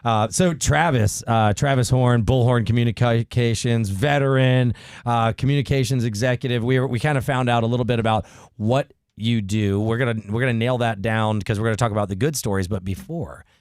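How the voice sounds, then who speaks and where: A male radio host with a medium-pitched voice in a dry studio. He chats casually and banters, circling back to repeat his phrases. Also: fairly quick, a little mellow, clean tone, dry recording. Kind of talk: casual business talk radio.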